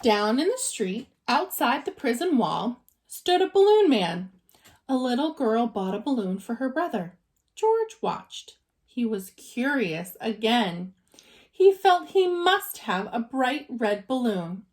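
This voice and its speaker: A woman narrates a children's storybook in a high voice. She takes her time and moves expressively up and down, dramatizing the tale for young listeners as a teacher would. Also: big pitch swings, slow, darkish tone. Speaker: teacher reading aloud.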